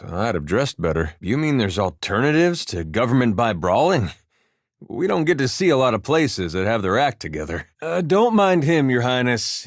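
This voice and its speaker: An actor playing an easygoing, wry farmer turned war veteran adventurer in a video game, with a folksy, drawling, dryly humorous delivery. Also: male voice, medium pitch, dry recording, clean studio sound. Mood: wry, laid-back. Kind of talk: video game character dialogue.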